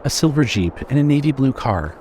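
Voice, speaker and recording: A man's voice: medium pitch, lively intonation, fairly quick, mellow, a little hiss or hum. A man reading scripted horror fiction as the narrator of an audio drama.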